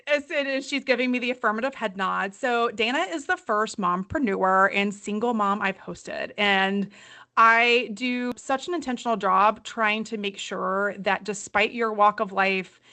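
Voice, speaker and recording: A woman's voice: medium pitch, bright, forceful tone. A woman with a Southern accent, hosting a podcast in an upbeat, friendly way. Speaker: podcast host.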